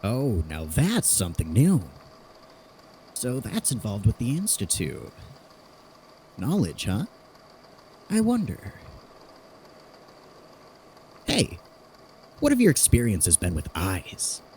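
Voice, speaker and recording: A man's voice: on the low side, huge pitch swings, slow, pauses often, a little mellow, slight background noise, exaggerated voice. A man playing a curious, analytical theorist in an audio drama, conversational and inquisitive. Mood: intrigued.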